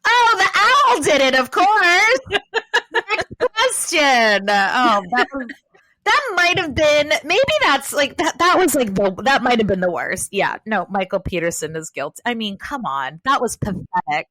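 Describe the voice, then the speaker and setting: A woman guest chatting animatedly on a podcast, loud and rambling, with many fillers, speaking close to her microphone. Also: high-pitched, big pitch swings, measured pace, no echo, low-quality recording. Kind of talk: casual chat. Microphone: close to a desk microphone.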